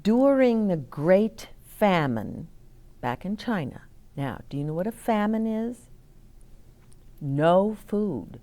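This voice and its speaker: A woman reading picture books aloud to children as a storytime host, in a deliberate, explaining way. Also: medium pitch, sing-song, warm tone. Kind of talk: expressive read-aloud for children with asides to listeners.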